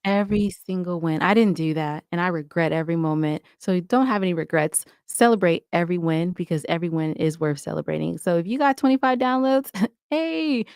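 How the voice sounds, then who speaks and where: A woman with a slightly low voice talks casually as a guest on a podcast. Her delivery is emphatic and motivational, with frequent little check-ins to the listener. The sound is very dry, with no room echo. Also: lively intonation, brisk, a little mellow, clean tone. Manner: emphatic, motivational, often adds "right?". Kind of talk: casual interview chat.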